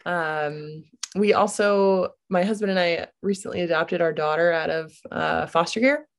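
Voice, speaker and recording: A woman in her late twenties from Michigan, a guest on a podcast, talking earnestly with light laughs and pauses. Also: lowish voice. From Grand Rapids, USA. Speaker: podcast guest, business founder. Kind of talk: casual interview.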